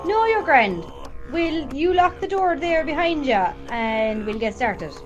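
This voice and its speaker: A woman acting a bossy, upbeat Irish group leader in a radio play. Her voice is high and swoops about, with faint music behind it. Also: very animated, measured pace, highs cut off. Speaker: actor in an amateur radio drama. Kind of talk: radio drama dialogue.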